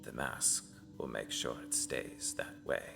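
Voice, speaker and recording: A man acting a very deep, gravelly cult seer. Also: fairly even intonation, takes it slowly, soft background music, exaggerated voice. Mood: solemn. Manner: solemn, cryptic, often hushed. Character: grave, devout male cult seer, ominous mentor to an assassin. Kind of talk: dark fantasy audio drama acting.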